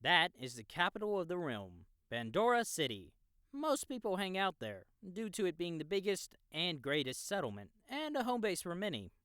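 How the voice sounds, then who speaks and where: A man's voice: sits high, wildly swooping pitch, moderate pace, fairly bright tone, smooth, no room sound, studio quality, exaggerated voice. A man playing an easygoing young experienced game player who explains the world to a newbie in a casual, knowing way, in an audiobook. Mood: knowing, explanatory. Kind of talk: audiobook character acting, explanatory dialogue.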